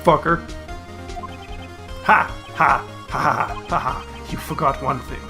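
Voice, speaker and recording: A man's voice: medium pitch, takes it slowly. A man voicing a shifty, defiant murder witness in a video game, stammering and ranting, with music behind him. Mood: gloating. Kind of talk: hobby video game dub.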